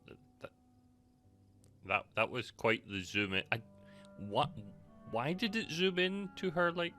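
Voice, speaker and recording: A man giving casual live-stream game commentary, halting and stammering as he playfully banters with chat. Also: a little high, very animated, sharp tone, faint music behind.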